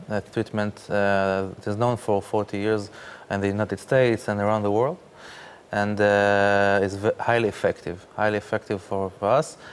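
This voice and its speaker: A man, speaking haltingly with many fillers as a guest in a studio interview. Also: on the low side, clear, resonant tone. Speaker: interview guest, startup founder.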